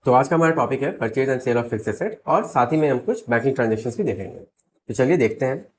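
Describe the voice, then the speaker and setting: A man with an Indian accent and a slightly hoarse voice, teaching step by step and checking in with rhetorical questions. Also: mid-range voice, expressive, brisk, slight background noise. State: slightly hoarse, sore throat. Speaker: accountancy teacher. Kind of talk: explanatory lesson mixing Hindi and English.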